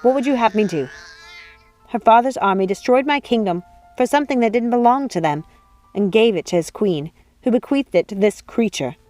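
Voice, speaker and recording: A woman's voice: mid-range voice, a little mellow, close to an everyday voice. A woman voicing a bitter, proud and possessive witch in a storybook reading, speaking in grave, emphatic declarations. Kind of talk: storybook character voice.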